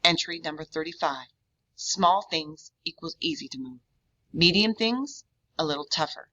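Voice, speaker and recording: A woman voicing a curious, analytical young boy detective in a storybook, earnest and deliberate, on a dull, lo-fi recording. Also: deep voice, animated, pushed and bright, exaggerated voice. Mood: earnest deduction. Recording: dull, lo-fi sound.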